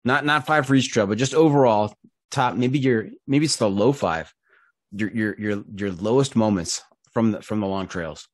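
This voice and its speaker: Low-voiced, easygoing podcast host man. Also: moderate pace, dry recording, clean studio sound. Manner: joking, easygoing questioner. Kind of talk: casual interview chat. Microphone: studio microphone.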